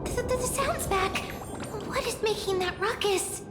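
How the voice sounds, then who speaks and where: A woman's voice: very high voice, unhurried, heavy background noise. A woman voicing a small, cute, childlike magical creature in an anime game, chirping eagerly and repeating syllables. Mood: alarmed. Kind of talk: anime-style game voice acting. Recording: echoey, under loud game music.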